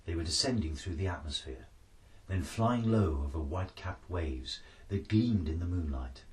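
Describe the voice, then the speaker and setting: A man's voice: sits low, animated, moderate pace, recorded from a distance. A man reading an adventure story aloud as a dramatic scripted narration. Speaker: author-narrator reading his book.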